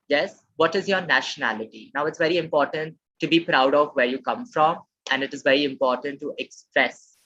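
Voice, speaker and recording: A woman from Maharashtra, teaching a live online Japanese lesson. She repeats key phrases slowly and keeps checking in with the chat. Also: deep voice, fairly bright tone, breathy edge. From Maharashtra, India. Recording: dull lo-fi webinar audio.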